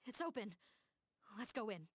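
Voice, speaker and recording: A woman's voice: fairly high pitch, very animated, normal speed. A woman voicing an earnest, commanding female police captain in a video game, serious and authoritative.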